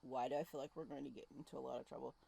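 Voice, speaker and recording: A woman's voice: very deep voice, fairly even intonation, racing, vocal fry, mellow, slightly rough. A woman streaming a game, chatting casually and reacting in an easily startled, muttering way. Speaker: streamer playing a horror visual novel. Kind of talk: casual livestream commentary and reading aloud.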